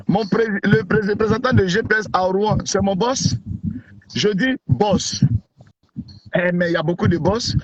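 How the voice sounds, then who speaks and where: A man's voice: high-pitched, no room sound. A man, an outspoken blogger talking emphatically and repeating himself as an interview guest over a call. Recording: dull lo-fi call audio with noise.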